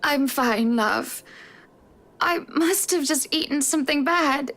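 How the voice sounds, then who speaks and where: Girl reading as a tired sweet young wife in a visual novel, high soft voice, takes her time. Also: slow. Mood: unwell, reassuring. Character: weary, affectionate married young woman in a visual novel. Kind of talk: visual novel character read-aloud. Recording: voice over prominent game music.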